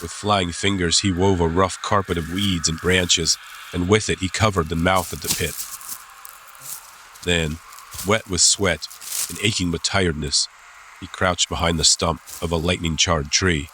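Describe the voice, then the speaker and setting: Deep-voiced male suspense narrator. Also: steady tone, sharp tone, rough recording. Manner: suspenseful, deliberate phrasing. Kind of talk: scripted dramatic audiobook narration. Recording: clear background ambience noise.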